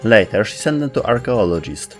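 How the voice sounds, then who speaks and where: A man with a fairly low voice reads scripted story narration as a narrator. Faint music plays behind him. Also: expressive, fairly quick. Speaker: narrator of game lore video show.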